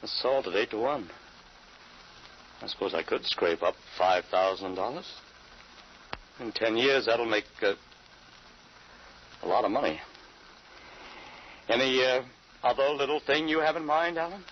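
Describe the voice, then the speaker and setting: A man's voice: mid-range voice, a little slow, long pauses, lightly acted. An actor playing a cautious, doubting middle-aged father in an old-time radio drama, hesitant and questioning. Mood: doubtful, tempted. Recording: muffled, noisy old radio recording.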